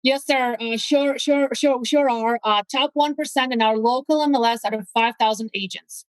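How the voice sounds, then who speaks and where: A woman's voice: fairly high pitch, moderate pace, dry recording, rough recording. A woman from Latvia with a Latvian accent, speaking English as a second language, talking as an eager guest on a casual interview and repeating words as she searches for phrasing. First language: not English. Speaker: guest real estate agent.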